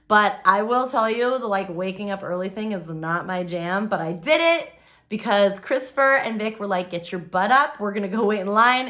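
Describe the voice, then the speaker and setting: A woman's voice: medium pitch, sharp tone. A young woman chatting casually in a vlog, filling her talk with "like" and "um", her voice only just back after being lost. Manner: chatty, lots of "like" and "um". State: voice recently lost, recovering. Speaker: vlogger.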